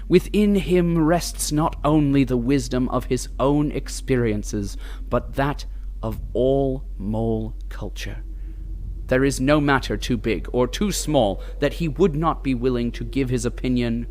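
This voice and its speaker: An actor narrating an audio drama as a wry, grandiose, mock-solemn storyteller with deliberate phrasing. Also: male voice, mid-range voice, a little mellow.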